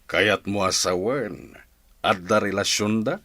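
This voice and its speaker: A man's voice: on the low side, slow, pushed and bright, full character voice. A man with an Ilocano Filipino accent playing a stern, hot-tempered father in a radio drama. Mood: suspicious, irritated. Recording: clear background noise with chirping.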